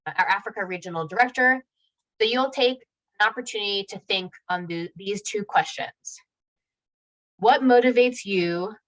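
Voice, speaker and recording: A woman's voice: medium pitch, fairly bright tone, low-quality recording. A woman speaking as host of an online event, giving prepared remarks to the audience.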